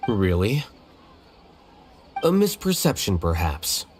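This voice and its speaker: An actor playing an aloof, logical young scholar in a video game, speaking in a blunt, detached, dry manner. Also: male voice, lowish voice, very animated, slow, darkish tone, a little hiss or hum. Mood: detached, terse. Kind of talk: video game character acting. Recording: music behind the voice.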